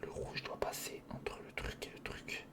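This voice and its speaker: A man whispering in a breathy, crackly voice, casually murmuring a step-by-step ASMR commentary as he thinks aloud. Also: measured pace. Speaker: ASMR video creator.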